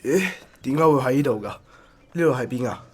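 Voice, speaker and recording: A man's voice: mid-range voice, lively intonation, fast, a little mellow, husky. A man with a Hong Kong Cantonese accent playing a weary, mild-tempered businessman in a radio drama. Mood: groggy, polite.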